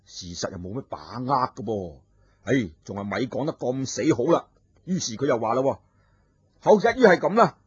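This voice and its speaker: A man's voice: medium pitch, warm tone, breathy edge, no echo. A man narrating a martial-arts tale in a dramatic traditional storytelling style. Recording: dull lo-fi recording.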